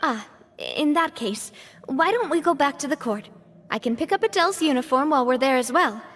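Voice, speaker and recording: A woman's voice: sits high, lively intonation, a little fast, fairly bright tone. A woman playing a young guild boss in a game, thoughtful and firm when she speaks. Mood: friendly.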